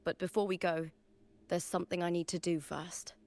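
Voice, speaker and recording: Woman acting earnest gladiator, slightly low and gravelly. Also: level tone, moderate pace, a little mellow, natural voice. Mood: solemn, tender. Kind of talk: voiced game dialogue.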